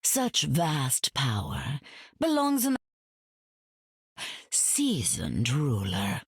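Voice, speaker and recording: A woman's voice: very deep voice, wildly swooping pitch, drawn-out words, husky, no echo, studio quality, heavily acted. A woman voicing an imperious, silky goddess of death in a video game, grand, theatrical and condescending.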